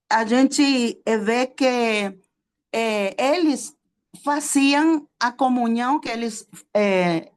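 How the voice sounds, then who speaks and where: Woman preacher, Spanish accent, native Spanish speaker, slow deliberate delivery, many pauses and fillers. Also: fairly high pitch. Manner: halting, pauses often with "eh" and asks listeners to follow along. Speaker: preacher and theology teacher. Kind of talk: teaching sermon to a small group.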